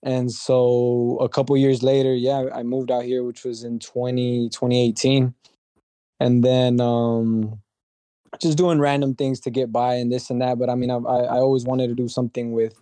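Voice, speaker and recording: A young man speaks as a podcast guest, soft-spoken and humble, often restarting his sentences. His voice is mellow and fairly flat, and the sound is dry and close. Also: medium pitch, moderate pace, dry recording. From Dallas, Texas. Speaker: guest, brand founder. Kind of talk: casual interview chat.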